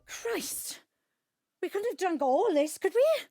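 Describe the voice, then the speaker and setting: A guilt-ridden grown daughter in an audio drama, very high in pitch and swinging widely in tone. She is defensive and pleading, and the sound is close and dry. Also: big pitch swings, slow, dark tone, dry recording, full character voice. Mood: desperate. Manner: pleading, distraught. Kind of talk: emotional audio drama dialogue.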